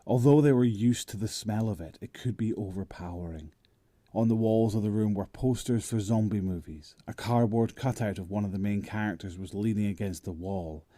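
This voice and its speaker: A man acting as the narrator of a zombie horror audio drama, telling it in a measured, descriptive way. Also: lowish voice, no echo, close to an everyday voice. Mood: grim, suspenseful. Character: male narrator of a grim zombie horror tale. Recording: loud music under the voice. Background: music.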